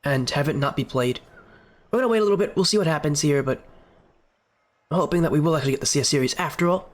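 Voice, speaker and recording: Fast-talking sick man, sniffling. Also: on the high side. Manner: chatty filler talk while waiting. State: sick with a stuffy nose, sniffling. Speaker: esports league caster. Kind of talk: solo stream commentary.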